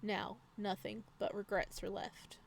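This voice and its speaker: Woman gaming narrator, clearly noisy recording. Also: medium pitch, flat delivery, a little slow. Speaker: gaming video creator. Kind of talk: video game let's play, reading on-screen text aloud and commenting.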